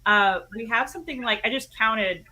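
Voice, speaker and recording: A woman's voice: fairly high pitch, normal speed, pushed and bright. A woman chatting casually as an interview guest, with some noise in the recording. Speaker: guest, audio drama team member.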